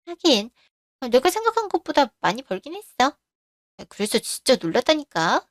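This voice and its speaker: Flirty greedy mistress, woman voicing her, cooing and coaxing, high-ish voice. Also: sing-song, moderate pace, no room sound, studio quality. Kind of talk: Korean radio drama.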